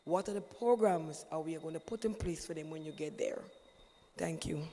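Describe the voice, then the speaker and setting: A hoarse woman asking a question from the floor of a town hall meeting in a plain, conversational way. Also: low voice, normal speed. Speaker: audience member asking a question. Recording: reverberant hall with faint room noise.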